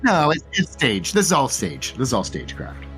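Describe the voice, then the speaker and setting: A man running a tabletop game as game master, relaxed and full of fillers. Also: medium pitch, fairly quick, husky, soft background music.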